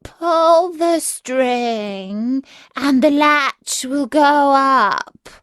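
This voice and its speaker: A woman voicing a sly, hungry storybook wolf with a drawn-out, wheedling, falsely sweet manner. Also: high voice, no echo, pitched above the performer's own voice, heavily acted. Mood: coaxing. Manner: drawn-out, wheedling, faking a softer voice.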